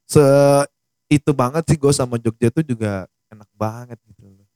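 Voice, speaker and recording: A man's voice: mid-range voice, a little mellow, no echo. Laid-back young man, dry close sound. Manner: laid-back, slangy, quick to laugh. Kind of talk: casual chat.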